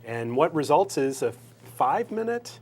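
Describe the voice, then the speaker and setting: Interview host: woman, extremely low voice, halting and casual, short questions and interjections. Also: sing-song, darkish tone, slightly rough.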